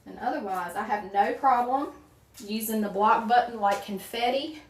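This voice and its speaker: A woman's voice: on the high side, moderate pace, roomy sound, far from the mic, poor audio. A woman in her forties from a small town in Virginia, with a Southern accent, chatting casually with livestream viewers while crafting and greeting them by name. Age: 40s. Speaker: wreath-making livestream host.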